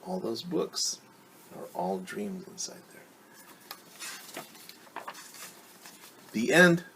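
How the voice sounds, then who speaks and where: A man's voice: fairly low pitch, big pitch swings, drawn-out words, vocal fry, background noise. A man teaching young children at story time, reading aloud and explaining in simple, encouraging words with questions to his listeners.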